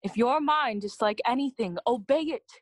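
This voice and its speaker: A woman's voice: fairly high pitch, normal speed, no echo, clean studio sound, close to an everyday voice. A woman playing a loyal, anxious young attendant in a classical audio drama, speaking earnestly and deferentially. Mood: concerned.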